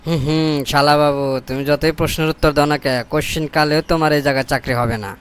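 A man's voice: a little high, steady tone, fast, fairly bright tone, clear, resonant tone, lightly acted. A man voicing a scheming, self-important corrupt boss in a comic cartoon, pompous and sly, with colloquial Bangladeshi speech. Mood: scheming aside. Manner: pompous, mock-formal, sly.

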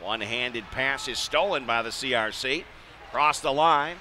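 American man calling hockey over rink noise. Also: mid-range voice. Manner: excitable on big plays, measured between. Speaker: play-by-play hockey announcer. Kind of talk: live radio-style sports commentary. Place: indoor ice arena. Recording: noisy live rink broadcast.

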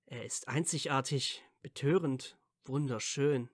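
A man's voice: medium pitch, unhurried, no echo, studio quality, lightly acted. A man playing a playfully whiny, smitten young wizard student in a fan audio drama. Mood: tender, smitten.

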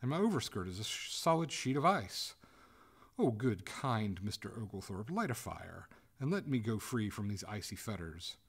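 A man's voice: on the low side, big pitch swings, normal speed, pitched above the performer's own voice. A man voicing a female water ghost, a haughty lady given to imploring, in a storybook reading. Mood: desperate pleading. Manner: formal, melodramatic, imploring.

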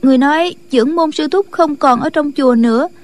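A woman's voice: sits high, brisk, a little mellow, voice pushed up, natural voice. A woman voicing an earnest, respectful young female disciple in a martial arts audiobook, girlish and deferential. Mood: earnest, urgent.

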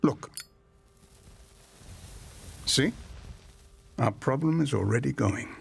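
An actor playing a self-described touchy-feely foreigner who is having a secret affair, soothing and insistent in a radio drama. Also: male voice, mid-range voice, animated, takes it slowly, vocal fry, slight background noise, heavily acted. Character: secretive foreign lover, calm and persuasive.

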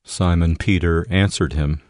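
A man narrating scripture from a script, measured and solemn. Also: low voice, steady tone, a little mellow, no room sound, clean studio sound.

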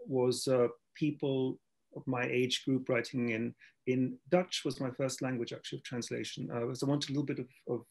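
A man with a gravelly, husky voice whose first language is German talks over a video call. He speaks hesitantly, circling back and repeating words as he gathers his thoughts. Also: medium pitch, level tone, normal speed, a little mellow, rough recording. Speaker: panelist translator. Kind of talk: panel discussion on video call.

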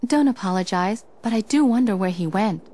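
A woman's voice: mid-range voice, lively intonation, a little fast, warm tone, no room sound, close to an everyday voice. A woman voicing a brave, earnest young warrior woman in a video game cutscene. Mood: earnest, determined.

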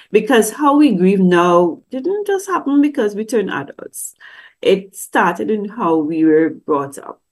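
A woman's voice: fairly low pitch, darkish tone, husky. A middle-aged woman, teaching about grief through a webcam in a conversational, questioning way. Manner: conversational, asks many questions, acts out quoted voices. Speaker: grief counselor teaching at a church service. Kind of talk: teaching talk with slides. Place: indoor room. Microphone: webcam on a video call.

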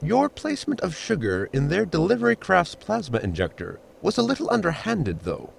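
An actor playing a dry, dutiful ship robot servant with a formal, precise, deadpan manner in a sci-fi comedy audio drama. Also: male voice, high voice, normal speed, creaky, slight background noise, full character voice.

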